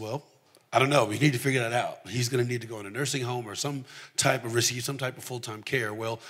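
A man's voice: fairly low pitch, fairly bright tone. A man from the southern United States preaching in a conversational way, telling personal stories candidly and repeating phrases for emphasis. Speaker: preacher giving testimony. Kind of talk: conversational sermon with personal storytelling.

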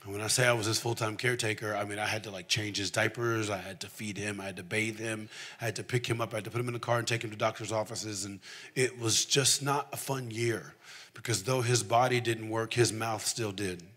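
A man's voice: on the low side, steady tone, brisk, fairly bright tone. A man from the southern United States preaching in a conversational way, telling personal stories candidly and repeating phrases for emphasis. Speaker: preacher giving testimony. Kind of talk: conversational sermon with personal storytelling.

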